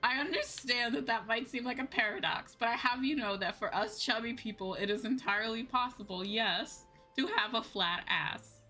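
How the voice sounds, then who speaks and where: A woman livestreamer chatting casually as she plays, emphatic and playfully self-deprecating, with an animated way of talking. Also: fairly high pitch, normal speed, few pauses. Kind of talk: casual gaming stream chat. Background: music.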